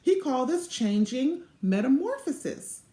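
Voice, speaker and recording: A woman reading a children's story aloud in an expressive way, with deliberate emphasis. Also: high voice. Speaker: literacy program co-chair, story reader. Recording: echoey room sound.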